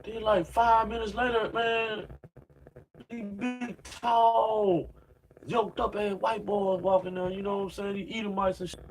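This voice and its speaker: A man's voice: sits high, little pitch movement, measured pace, low-quality recording. A man in his late thirties preaching informally and reading scripture, rambling in slang and padding nearly every sentence with "man" and "you know what I'm saying.". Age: 30s. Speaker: religious preacher.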